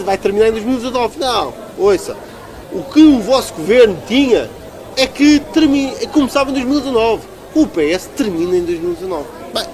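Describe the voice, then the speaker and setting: A man with a European Portuguese accent giving a conversational political interview as a local politician, arguing emphatically and repeating phrases for stress. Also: high-pitched.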